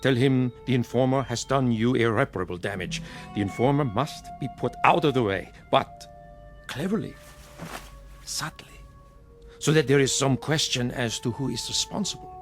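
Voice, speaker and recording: An actor playing a sinister, cunning mentor in a radio drama, deliberate, commanding and theatrical. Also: male voice, medium pitch, faint music behind, natural voice. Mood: cold, scheming. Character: sinister, cunning male mentor, grandly manipulative.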